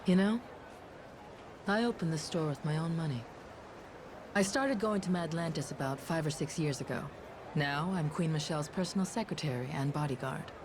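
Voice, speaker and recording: A woman's voice: low voice, normal speed, noisy background, lightly acted. An actress voicing a tough, self-assured young woman bodyguard in a video game cutscene, casual and slangy.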